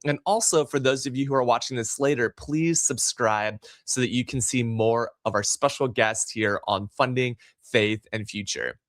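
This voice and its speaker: Male livestream host, eager and effusive, mid-pitched voice, dry close recording. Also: normal speed, few pauses, a bit bright, no room sound. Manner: enthusiastic, effusive. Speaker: podcast host. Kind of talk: livestream interview hosting.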